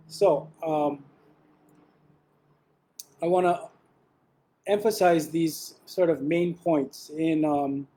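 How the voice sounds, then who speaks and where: Relaxed man giving a webinar talk. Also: fairly high pitch, rough recording. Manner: relaxed, with frequent ums and restarts. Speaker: webinar presenter.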